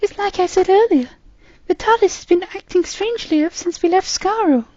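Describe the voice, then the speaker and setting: A man's voice: very high-pitched, fairly quick, darkish tone, no room sound, highs cut off, pitched above the performer's own voice. A man voicing a frightened, pleading young girl who calls out to her grandfather. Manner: anxious, pleading.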